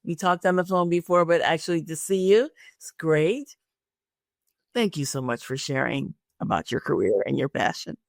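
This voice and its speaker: A woman with a creaky voice, speaking conversationally as an interview host and career coach. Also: low-pitched, lively intonation, moderate pace, dry recording, clean studio sound.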